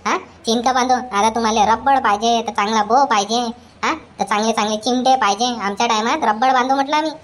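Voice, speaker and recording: Woman doing a cranky cartoon mother-in-law, rattles off scolding fast, highish voice. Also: natural voice. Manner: rapid scolding rant. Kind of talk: cartoon comedy voice acting.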